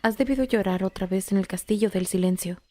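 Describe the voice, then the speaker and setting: Woman voicing a mellow, knowing animal companion. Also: medium pitch, brisk, close to an everyday voice. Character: gentle, knowing talking animal companion, female. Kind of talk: audiobook character acting.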